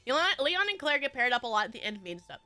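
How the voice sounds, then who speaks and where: A bright, high-pitched woman with a stuffy nose chats with her viewers. Her voice swings all over the place as she rambles and breaks into giggles. Faint music plays under a dry, close sound. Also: big pitch swings, fast, smooth, dry recording. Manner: bubbly, rambling, frequent giggles. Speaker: streamer. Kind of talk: casual chat with viewers.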